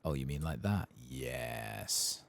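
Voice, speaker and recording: A man giving soft-spoken ASMR commentary over a game playthrough in an unhurried murmur. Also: on the low side, animated, gravelly, no echo. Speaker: ASMR gaming streamer.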